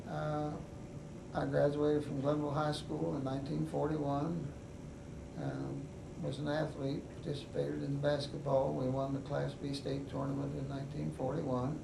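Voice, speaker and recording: An older man with a West Virginian accent, telling his life story in an oral history interview in an unhurried, halting way with many pauses. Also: on the high side, level tone, vocal fry. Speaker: veteran interviewee. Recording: echoey room, mic at a distance, noisy.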